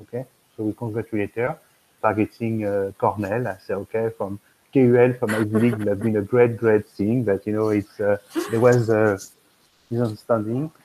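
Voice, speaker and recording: A man's voice: on the low side, level tone, moderate pace, warm tone, a little hiss or hum, poor audio. A man in his fifties with a French accent, talking on a video call as an admissions consultant, rambling with many fillers and restarts. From France. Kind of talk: video call webinar talk. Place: room at home.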